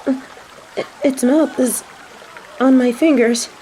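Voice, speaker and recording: Woman acting a high-voiced, stammering tsundere angel girl. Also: fairly even intonation, takes it slowly, warm tone, slight background noise, low-quality recording. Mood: shy wonder. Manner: stammering, flustered, haughty when embarrassed. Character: snobby flustered tsundere young female angel, secretly sweet. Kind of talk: romantic audio roleplay acting.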